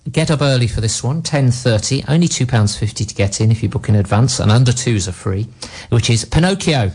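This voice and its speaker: A man's voice: medium pitch, animated, a little fast, a little mellow, slightly rough. A man with a cold, co-hosting a local radio film show and chatting through cinema listings. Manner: chatty, reads out listings with light laughs. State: has a cold. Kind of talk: casual radio chat.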